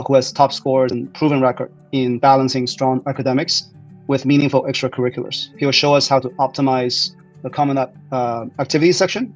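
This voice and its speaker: Halting male host, flat and muffled. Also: mid-range voice. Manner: hesitant, many "uh" fillers. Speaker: webinar host. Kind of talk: event introduction.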